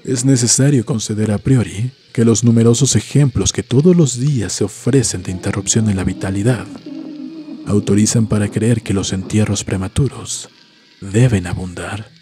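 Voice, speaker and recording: A man narrating a scripted horror story in a solemn, deliberate, dramatic way, letting phrases trail off softly. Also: fairly low pitch. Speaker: host and narrator of a story series. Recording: music behind the voice. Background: music.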